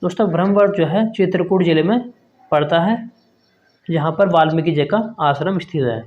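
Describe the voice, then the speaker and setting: Slightly high man coaching through a quiz. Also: normal speed. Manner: reads out options, keeps addressing viewers as friends. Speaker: exam prep teacher. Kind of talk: quiz-style exam coaching lesson. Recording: dull lo-fi sound.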